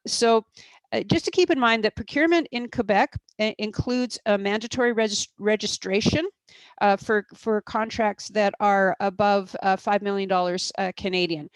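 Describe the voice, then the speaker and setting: A woman's voice: medium pitch, no room sound. A woman presenting in a webinar as a procurement expert, explaining at length with frequent fillers and restarts.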